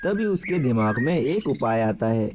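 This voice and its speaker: A man's voice: mid-range voice, very animated, moderate pace, muffled recording, noisy background. A man narrating a scripted children's story as the storyteller.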